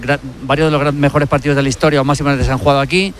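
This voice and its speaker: A man's voice: fairly high pitch, steady tone, racing. A male football pundit speaking from inside a stadium, eager and often restarting his words.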